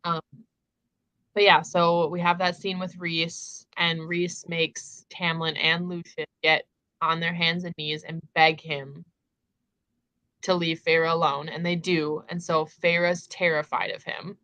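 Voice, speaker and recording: A woman's voice: fairly low pitch, little pitch movement, slow, bright, forceful tone, dry recording, low-quality recording. A woman hosting a casual book discussion, retelling in an emphatic, conversational way peppered with filler words.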